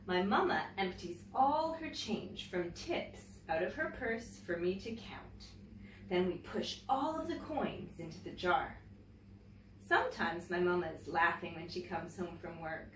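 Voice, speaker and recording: Roomy, expressive woman reading to children. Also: fairly low pitch. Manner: expressive, deliberate phrasing, addressing young listeners. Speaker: teacher reading picture books to children. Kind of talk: read-aloud storytelling for children with chatty asides. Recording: roomy, distant mic, faint background noise.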